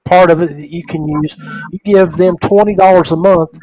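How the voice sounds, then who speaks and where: Male podcast host, slightly high voice, conversational and halting, very dry close sound. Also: steady tone, warm tone, no room sound, low-quality recording. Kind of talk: casual conversational interviewing.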